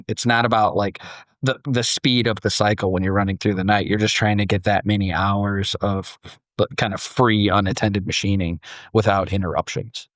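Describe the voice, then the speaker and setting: A man hosting a podcast, moving between storytelling and casual discussion, acting out imagined quotes in an animated way. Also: lowish voice, dry recording, studio quality. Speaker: podcast host.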